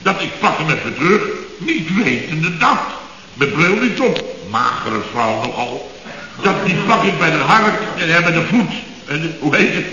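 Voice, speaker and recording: A man's voice: on the high side, very animated, a little slow, bright, forceful tone, a little hiss or hum, exaggerated voice. An actor playing an indignant middle-aged company boss in a radio comedy, sarcastic and sputtering as he repeats himself. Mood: flustered. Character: blustering, indignant middle-aged Dutch building contractor boss. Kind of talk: 1970s radio comedy acting. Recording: dull, echoey old radio recording.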